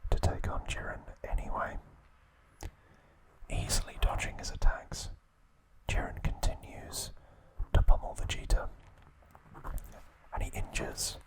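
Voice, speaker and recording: A man whispering ASMR trivia narration in a soft, steady voice, with casual asides now and then. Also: low-quality recording. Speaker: ASMR creator.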